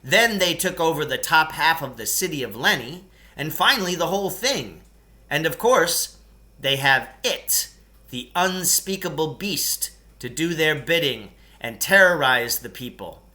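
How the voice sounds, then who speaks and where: A man's voice: a little high, expressive, measured pace, bright, forceful tone, heavily acted. A man voicing a long-winded, nostalgic storyteller in a children's novel, ceremonious and pompous, drawing out key words. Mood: solemn, ominous. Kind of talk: children's novel read aloud in character.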